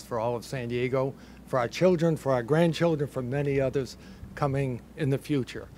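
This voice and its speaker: An older man with a Boston accent giving a measured, reflective ceremony speech from a podium, with a light touch of humor. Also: medium pitch, a little mellow, a little hiss or hum. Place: ceremony in a building under construction.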